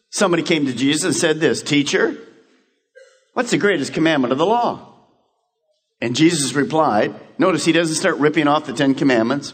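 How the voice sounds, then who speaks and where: A male pastor with a high, husky voice that swoops up and down as he preaches. He fires off questions and answers them himself. His voice rings with the long reverb of a church hall. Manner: animated preaching with rhetorical questions. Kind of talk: radio sermon.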